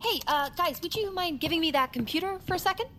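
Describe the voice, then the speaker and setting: A woman's voice: very high-pitched, lively intonation, fast, very noisy. An actress playing a tough, defiant ex-Marine woman with a dry, assertive way of talking in an audio drama. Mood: wry, commanding.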